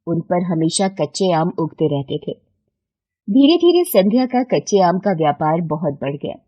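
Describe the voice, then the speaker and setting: A woman's voice: fairly low pitch, sing-song, moderate pace, lo-fi sound. A woman reading scripted narration for a children's moral story as the storyteller.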